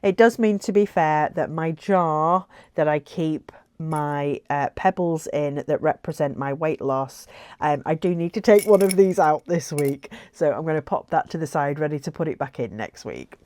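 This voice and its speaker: A woman's voice: low voice, normal speed, warm tone, no room sound, clean studio sound. A woman talking casually to camera as a weight-loss vlogger, chatty and reflective with frequent ums and light laughs.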